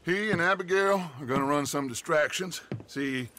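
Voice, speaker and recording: An actor playing a calm, courteous middle-aged outlaw leader in a video game, speaking in a measured, smooth way. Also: male voice, fairly high pitch, a little hiss or hum. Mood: scheming.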